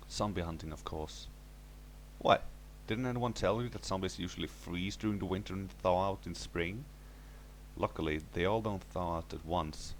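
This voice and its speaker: A man's voice: low voice, slight background noise. A man reading a scripted first-person horror story as its narrator.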